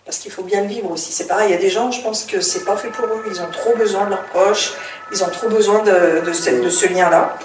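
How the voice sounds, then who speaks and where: A woman's voice: medium pitch, brisk. Middle-aged French-born woman, chatty and reflective interview guest; mic a bit far off in an echoey room. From France. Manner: chatty and reflective, with many fillers and restarts. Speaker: author and former teacher, interview guest. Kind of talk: casual interview, telling her life story. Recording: roomy, distant mic with faint noise.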